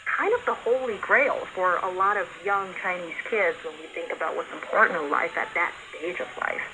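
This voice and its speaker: A woman speaking as a panelist in a radio discussion, explaining in a measured way. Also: lowish voice. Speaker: radio talk show panelist. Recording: noisy shortwave radio broadcast. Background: shortwave static and hiss.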